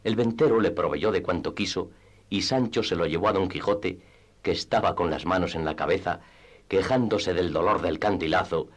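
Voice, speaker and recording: A man narrating a classic literary tale for radio theatre in a scripted storytelling style. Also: deep voice.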